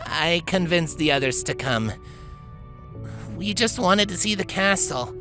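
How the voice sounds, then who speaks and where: Young pony ringleader played by a woman: raised pitch, sheepish, candid, owning up in a storybook tale. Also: expressive, unhurried, over music. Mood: guilty.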